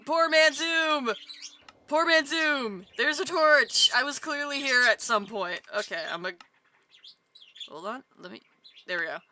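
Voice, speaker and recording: A woman streamer with a rough voice, chatting casually and rambling while she plays, swearing now and then, into a desk microphone. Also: high voice, unhurried, bright, forceful tone, slight background noise. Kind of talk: casual live stream chat while gaming. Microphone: desk microphone with mute button. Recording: music playing under the voice. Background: background music.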